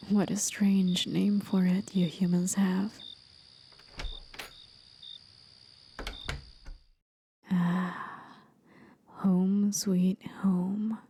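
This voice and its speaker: A woman voicing a gentle, aged, mysterious demon of the forest in an intimate, soothing, breathy half-whisper for an ASMR roleplay. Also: medium pitch, level tone, extremely slow, exaggerated voice. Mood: tender, hushed.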